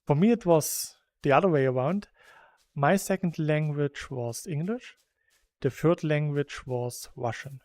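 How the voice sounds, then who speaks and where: Slow-talking German man, casual chat. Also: a little high, a little mellow, no echo. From Saxony, Germany. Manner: rambling and chatty, laughs between thoughts. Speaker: gaming YouTuber. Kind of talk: casual chat while playing a game.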